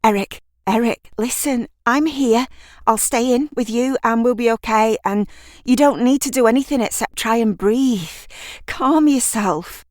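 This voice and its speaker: A caring girl, played by a woman, speaking in an audio drama. Her voice sits high and sounds anxious, urgent and hushed as she coaxes and soothes. The recording is dry and close. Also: a little slow, no room sound, close to an everyday voice. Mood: soothing.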